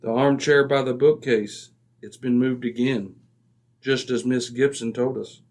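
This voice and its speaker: An actor playing a composed, incisive British gentleman detective in an old-time radio mystery, speaking briskly and precisely. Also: medium pitch, fairly even intonation, natural voice. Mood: brisk, intent. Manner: brisk, precise, self-assured.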